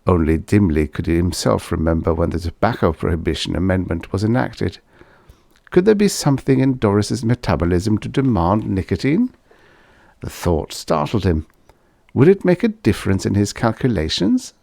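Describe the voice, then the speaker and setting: A man's voice: lowish voice, sing-song, moderate pace, vocal fry, darkish tone, no echo, studio quality. A man reading vintage science fiction aloud as an audiobook narrator, in a scripted storytelling style.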